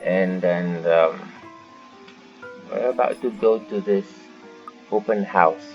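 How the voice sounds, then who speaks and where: Low-voiced man, slow and halting. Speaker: gaming channel host. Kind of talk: casual playthrough commentary. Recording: dull lo-fi mic. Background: game music.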